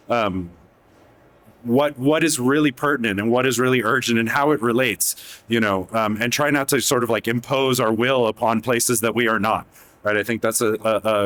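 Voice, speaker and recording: A man's voice: medium pitch, fairly bright tone, no echo. Man speaking carefully on a panel, dry sound. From Mexico. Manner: thoughtful, many hedges and restarts. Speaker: magazine editor. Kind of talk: panel discussion. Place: event hall panel.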